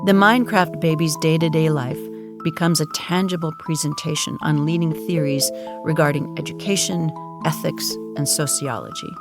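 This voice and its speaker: Very low female narrator, solemn n measured, deadpan documentary style audio drama, music playing behind.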